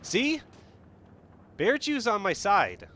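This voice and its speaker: A man's voice: high-pitched, huge pitch swings, takes it slowly, sharp tone, slight background noise. A man streaming a strategy game live, talking casually and thinking aloud as he plays. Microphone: headset.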